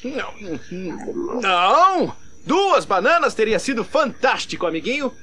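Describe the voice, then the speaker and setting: A man's voice: high-pitched, big pitch swings, takes it slowly, pushed and bright, slight background noise, heavily acted. A man voicing a big, dim, good-natured gorilla in a Brazilian cartoon dub, whiny, flustered and goofy. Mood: grumbling, reluctant.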